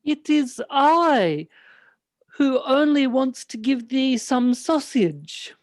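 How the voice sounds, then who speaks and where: A woman playing a mischievous but timid little troll in a folktale radio play, with a teasing, drawn-out delivery. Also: high-pitched, animated, no room sound.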